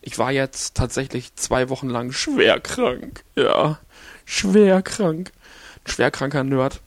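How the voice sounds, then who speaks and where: A man hosting a podcast on his own, hoarse after an illness, rambling along with many fillers and restarts. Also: mid-range voice, very animated, takes it slowly, no room sound. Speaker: podcast host. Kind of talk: casual solo podcast talk.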